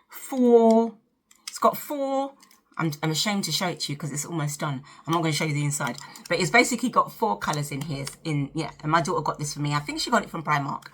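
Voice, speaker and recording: South london lady in her 50s, deepish bright voice, chatty vlog talk straight to camera. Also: slight background noise. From South London, England. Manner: chatty, conversational, addressing viewers directly. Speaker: vlog host. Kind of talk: casual chat to camera during a get-ready-with-me.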